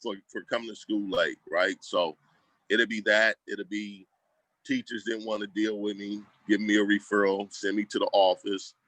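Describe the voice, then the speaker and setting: A man's voice: on the low side, flat delivery, moderate pace, a bit bright, dry recording, rough recording. A man talking casually as an interview guest, telling stories in slangy, colloquial speech and acting out quoted remarks. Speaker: school program leader, guest. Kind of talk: casual conversational storytelling.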